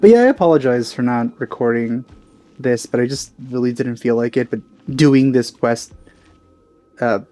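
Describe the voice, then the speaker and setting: A man's voice: medium pitch, normal speed, dark tone. A man giving rambling, casual commentary as he hosts a let's play.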